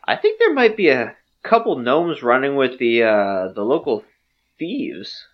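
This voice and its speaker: A mild bartender, played by a woman stammers through odd questions, flustered and evasive. The voice is low with lively swings of pitch. The recording sounds dull and lo-fi. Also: unhurried, full character voice. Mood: puzzled. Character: mild, puzzled male bartender.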